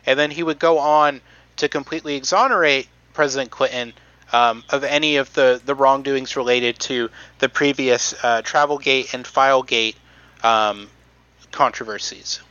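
A man's voice: mid-range voice, fairly even intonation, normal speed, sharp tone, clean tone, no echo, lo-fi sound. A man hosting a casual podcast, reading out notes with many ums and restarts. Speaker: podcast host presenting research.

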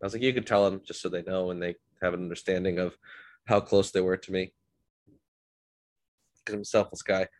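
A man's voice: deep voice, fairly even intonation, fairly quick, pauses often, a little mellow, no room sound. A man hosting a podcast, a bit congested from illness, telling rambling self-deprecating stories in a casual chat. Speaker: podcast host.

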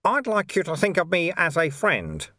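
A man's voice: a little high, huge pitch swings, moderate pace, pushed and bright, dry recording, clean studio sound, pitched above the performer's own voice, heavily acted. A man voicing a cheery, wily, skinny old bald monk in an audiobook, with a friendly, teasing assurance. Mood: amused, friendly.

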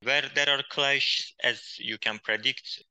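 Bright-toned man with a halting manner. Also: mid-range voice, fairly even intonation, smooth, dry recording, rough recording. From Hakkari region of Turkey, Kurdish. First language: Kurdish. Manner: halting, with frequent pauses and uh fillers. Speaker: guest, poet and journalist. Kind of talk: interview conversation.

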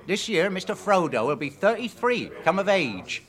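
A man's voice: sits high, very animated, moderate pace, a bit bright. An older man with a rural English accent playing a gossipy, loyal hobbit gardener in a radio drama, telling his news in a folksy, emphatic way. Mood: gossipy, pleased.

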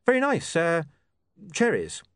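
Man as a polite, high-voiced earnest editor. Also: wildly swooping pitch, measured pace, fairly bright tone, clean tone, no room sound, studio quality, full character voice. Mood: awkward. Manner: courteous, hesitant, placating. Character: polite, hesitant male editor, mild and earnest.

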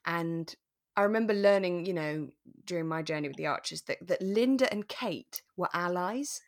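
Woman podcast host w lowish voice, chatty n earnest, gets keen about stuff. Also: moderate pace. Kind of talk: casual chat about a radio drama.

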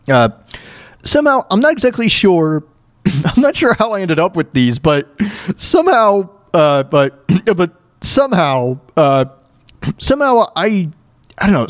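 A man hosting a solo talk show, speaking casually in a halting, stammering way with repeated false starts and a plaintive, self-deprecating manner. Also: sits high, no room sound, clean studio sound. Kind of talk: casual personal rant and storytelling.

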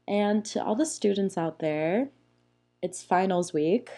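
A young woman hosting a casual solo podcast, hoarse and raspy from being sick, chatty and playful. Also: mid-range voice, slow pace, dark tone. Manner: chatty, playful, rambling with fillers. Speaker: podcast host. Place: small room at home.

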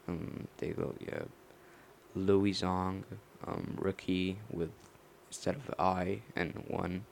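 Slow, gravelly low-voiced young man. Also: a little hiss or hum. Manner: mumbled, slow, halting, with many fillers. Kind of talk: rambling late-night solo talk.

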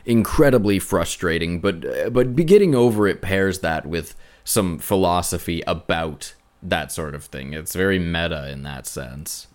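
A man with a bassy voice, chatting loosely on a podcast. He sits very low in pitch and keeps stopping to restart his sentences. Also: expressive. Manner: halting, with many restarts and fillers. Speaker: podcast co-host. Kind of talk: casual chat.

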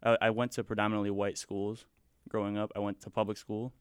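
Laid back young guy from the midwest, spanish at home growing up, lowish clear voice, says like a lot. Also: level tone, brisk, no echo, studio quality. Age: young adult. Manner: laid-back, rambling, lots of "like" and "so". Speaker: podcast guest. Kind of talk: casual conversation.

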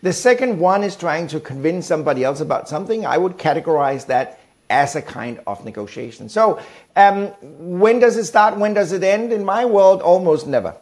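Higher-pitched Danish man answering questions. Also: expressive, normal speed, a little mellow. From Denmark. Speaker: guest, negotiation expert. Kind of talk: conversational interview answers.

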